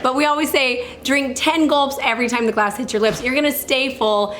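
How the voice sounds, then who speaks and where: A woman in her thirties with a high, slightly hoarse voice, speaking to the camera as a fitness coach. She is upbeat and chatty. Her casual tips come with small laughs and quick self-corrections. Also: steady tone, a bit bright, slight background noise.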